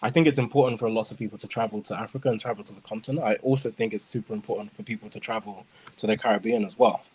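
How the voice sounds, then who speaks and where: A man from the United Kingdom speaking as a panelist in a casual discussion, earnest and animated. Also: lowish voice, racing, slightly rough, poor audio.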